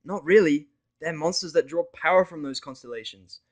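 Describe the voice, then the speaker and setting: Casting: male reader for chilled-out, big-hearted girl pony, lifted voice, lively slangy urging, audio fiction. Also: on the high side, normal speed, pitched above the performer's own voice, close to an everyday voice. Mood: explaining. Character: laid-back, caring young female pony, slangy. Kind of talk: fan fiction audio story character voice.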